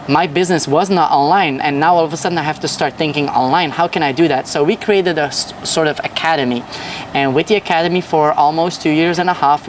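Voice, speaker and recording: A man's voice: on the high side, distant mic, slight background noise. A young man from Belgium, a podcast guest, telling his story with enthusiasm in casual chat. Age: young adult. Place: at a hotel.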